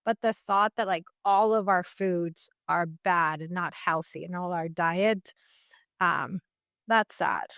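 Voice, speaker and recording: A woman's voice: fairly low pitch, slow pace, a bit bright, no room sound, clean studio sound. Earnest young woman from Mexico. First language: Spanish. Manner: earnest, many "like" fillers and restarts. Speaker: guest dietitian. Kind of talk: casual interview talk.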